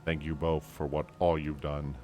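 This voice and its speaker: A man voicing a stoic, solemn fantasy game warrior in a grave, measured, formal manner. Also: very deep voice, flat delivery, darkish tone, a little hiss or hum.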